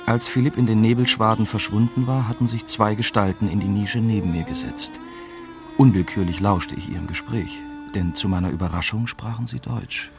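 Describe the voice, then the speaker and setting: An actor playing a young, wry German reporter, narrating a radio drama in a dry, matter-of-fact way. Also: male voice, on the low side, fairly even intonation, moderate pace, gravelly, over music. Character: young, wry male German newspaper reporter recounting his story. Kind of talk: scripted first-person radio drama narration.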